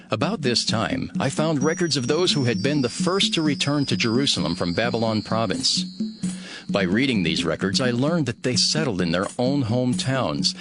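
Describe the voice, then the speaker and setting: An actor as a low-voiced, earnest ancient governor. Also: male voice, close to an everyday voice. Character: steadfast, devout male governor of ancient Jerusalem telling his story. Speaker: first-person narrator. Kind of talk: dramatized scripted narration.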